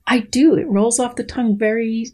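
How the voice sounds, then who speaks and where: Husky, higher-pitched woman, precise. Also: steady tone, moderate pace, mellow. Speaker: guest biologist. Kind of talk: reading a research paper aloud and commenting.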